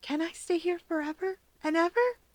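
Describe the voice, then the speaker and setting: A woman's voice: very high-pitched, normal speed, no room sound, pitched above the performer's own voice. A woman voicing a shy young boy who is eager and delighted by solitude, with childlike exclamations, in a story reading. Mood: hopeful.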